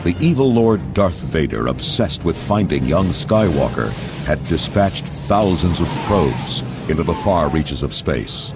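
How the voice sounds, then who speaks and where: A man's voice: very deep voice, big pitch swings, unhurried, hardly any pauses. A man narrating a scripted storybook read-along in a dramatic storytelling manner. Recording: loud music under narration.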